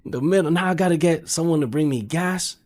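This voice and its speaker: A man's voice: on the high side, brisk, over a noisy crowd. A man speaking as a podcast guest and car salesman, telling casual, slangy anecdotes and acting out quotes.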